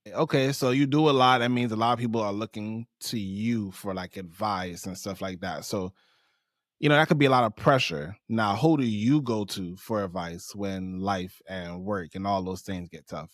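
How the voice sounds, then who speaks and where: Upbeat podcast host man, lowish voice. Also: moderate pace, no room sound, clean studio sound. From New York and Barbados. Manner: upbeat, promo-style host patter. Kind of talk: podcast interview hosting.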